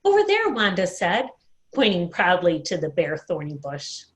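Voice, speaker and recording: A woman telling a children's story in an expressive read-aloud style, recorded at home in a dining room. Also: fairly low pitch, unhurried. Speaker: storyteller at a worship service.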